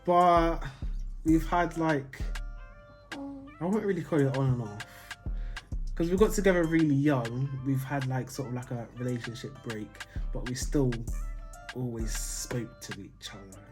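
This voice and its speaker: A relaxed young man from Jamaica talks easily through a casual Q&A with his partner. He laughs often and lets his sentences fade into mumbles. Light music runs underneath. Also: medium pitch, a little slow. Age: young adult. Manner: laid-back, laughing, trailing off quietly. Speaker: vlogger, new father. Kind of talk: casual couple's Q&A chat.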